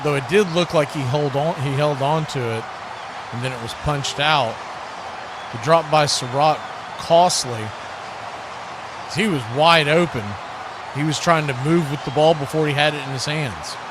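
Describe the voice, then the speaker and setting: Higher-voiced male football announcer, noisy feed. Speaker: play-by-play announcer. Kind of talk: live football radio commentary. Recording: clearly noisy background hiss and ambience.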